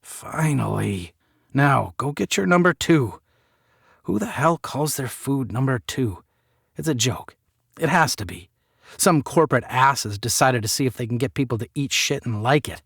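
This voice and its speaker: A man portrays a moody young working guy telling his own story in an audiobook. His delivery is sardonic and muttered, with lively swings in pitch. The recording is close and dry. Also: unhurried, vocal fry, husky, no room sound, exaggerated voice. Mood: bitter, sardonic.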